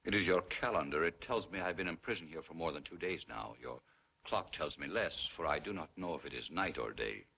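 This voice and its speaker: Guarded captive, gravelly, dignified, terse, 1950s film, dull soundtrack. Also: male voice, rumbling low, lively intonation, fairly quick, exaggerated voice. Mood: wary. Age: middle-aged. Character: weary, guarded middle-aged Polish underground leader held prisoner.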